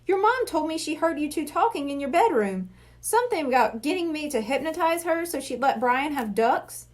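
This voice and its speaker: A woman's voice: sits high, expressive, normal speed, voice pushed up. A woman reading the part of a friendly, playful middle-aged male hypnotist in a children's storybook, courteous and jovial. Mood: amused.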